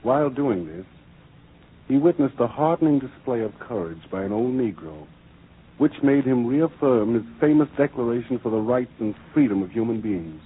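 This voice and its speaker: A man's voice: mid-range voice, expressive, moderate pace, husky. A man delivering formal, declamatory scripted narration in the style of 1940s radio drama. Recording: dull old radio broadcast recording.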